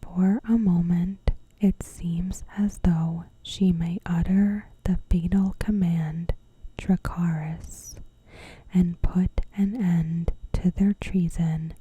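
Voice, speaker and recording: A woman narrating a recap in ASMR style, in a hushed, breathy whisper with measured delivery. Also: on the low side, steady tone, poor audio. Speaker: ASMR video host.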